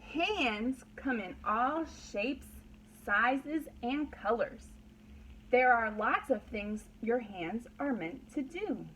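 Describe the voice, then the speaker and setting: A woman's voice: a little high, lively intonation, slow, fairly bright tone, slight background noise, low-quality recording. A woman pre-kindergarten teacher leading a read-aloud lesson for young children, using simple, repeated phrases and prompting questions.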